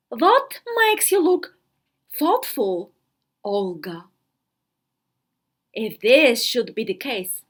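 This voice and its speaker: A woman acts out a worldly-wise fourteen-year-old girl confiding in her diary with grand self-importance. Her voice is high and swoops widely, delivered slowly with theatrical pauses and emphatic words, as a dramatic storybook reading. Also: a bit bright, exaggerated voice. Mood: solemn, self-important.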